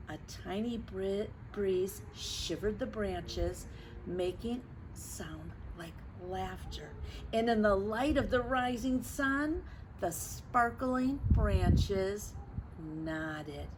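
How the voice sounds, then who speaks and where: A woman reading a picture book aloud to children, expressive and dramatic, stretching words and chatting to her young listeners. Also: mid-range voice, very slow. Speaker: storyteller for children. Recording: clearly noisy background.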